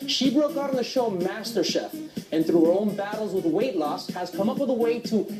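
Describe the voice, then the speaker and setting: A man's voice: sits high, lively intonation, moderate pace. A man hosting a TV show, delivering his intro in an upbeat, scripted way. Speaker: host. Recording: echoey room with music behind.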